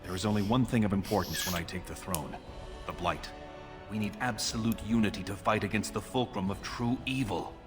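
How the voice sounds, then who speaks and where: An actor playing an ambitious dwarf prince in a video game, speaking formally and with resolute authority. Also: male voice, fairly low pitch, brisk, over music, lightly acted. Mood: resolute, urgent. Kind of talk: video game character dialogue.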